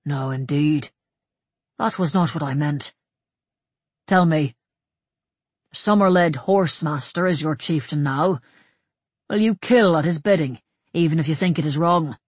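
A narrator playing a gentle but probing monk, a man who speaks softly and gravely with quiet certainty in an audiobook. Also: mid-range voice, dry recording. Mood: gently probing. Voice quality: soft-voiced. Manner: grave, measured, reassuring. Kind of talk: audiobook character dialogue.

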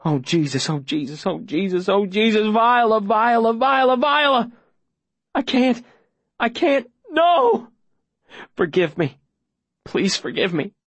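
A man voicing a frantic boy in an audiobook story, shouting and pleading desperately in a strained, cracking voice. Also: high voice, sing-song, measured pace, pauses often, dry recording, voice pushed up, heavily acted. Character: frantic boy screaming helplessly behind soundproof glass.

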